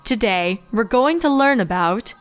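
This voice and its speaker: A woman voicing a kindly, regal mare schoolteacher in a storybook reading, patient and composed, with a gentle, instructive manner. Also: fairly high pitch, expressive, unhurried, faint music behind. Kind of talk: storybook character voice.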